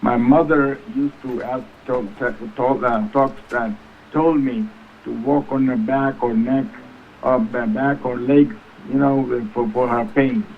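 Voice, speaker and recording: A man's voice: medium pitch, fairly even intonation, slow. A man in his eighties from Tanzania, talking conversationally as an interview guest over the phone. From Tanzania, East Africa. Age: 80s. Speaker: guest, reflexology practitioner. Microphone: phone call. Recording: noisy, tinny phone line.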